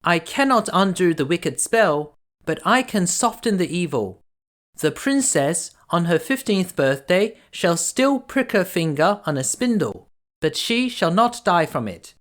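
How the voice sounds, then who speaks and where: A man voicing a gentle, reassuring fairy godmother in a storybook, earnest and soothing. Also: high voice, very animated, measured pace, voice pushed up, exaggerated voice.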